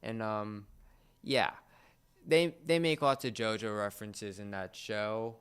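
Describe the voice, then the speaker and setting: Young man, lowish smooth voice, podcast co-host, casual chat, halting, rambling, repeated words. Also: a bit bright.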